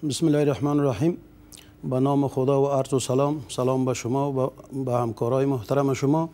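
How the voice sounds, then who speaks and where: A middle-aged man, the head of a trade union, answering questions in a TV studio with many pauses and fillers. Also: mid-range voice, little pitch movement, dark tone. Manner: hesitant, formal greetings, many fillers. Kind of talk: studio interview. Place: TV news studio.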